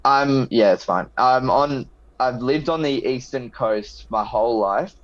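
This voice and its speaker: A man's voice: mid-range voice, unhurried, no echo. Brief: young Australian guy on a headset video call, loose profane banter, dull dry line. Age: young adult. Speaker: guest. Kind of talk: casual video call chat. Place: small room at home.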